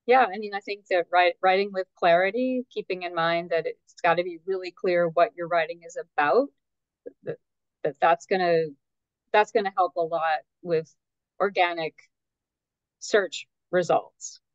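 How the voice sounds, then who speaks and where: Lowish female voice on a dull, dry connection. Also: steady tone, moderate pace, no echo. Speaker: guest editor. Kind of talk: conversational interview advice.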